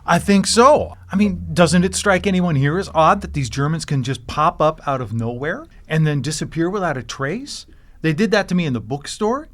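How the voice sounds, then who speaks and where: A man's voice: a little high, lively intonation, moderate pace, natural voice. Man playing an earnest small-town adventurer. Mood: excited. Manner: earnest, explanatory. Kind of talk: improvised comedic radio drama.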